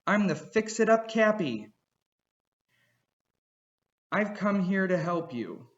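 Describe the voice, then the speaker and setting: A man voicing a slick, fast-talking male salesman with a smooth, clear and keen sales pitch. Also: big pitch swings, lo-fi sound, heavily acted. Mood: salesy.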